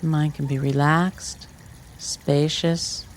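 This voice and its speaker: A meditation teacher giving guided instruction in measured, short phrases with pauses between them. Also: medium pitch, level tone, a little hiss or hum. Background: trickling water ambience.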